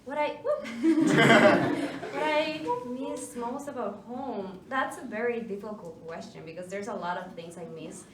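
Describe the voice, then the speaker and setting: Slow-talking young woman from Mexico. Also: on the high side. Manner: unhurried, laughing, searching for words. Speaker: cast member. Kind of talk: post-show Q&A answer. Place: theater stage. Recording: echoey noisy room from far off.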